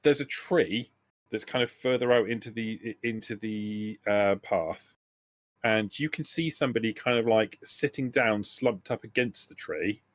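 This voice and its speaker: A man's voice: lowish voice, a little slow, no room sound. A man speaks very close and dry, with no room around him. He is a game master improvising descriptions for a tabletop roleplaying session. His delivery halts, circles back and rephrases as he builds each scene.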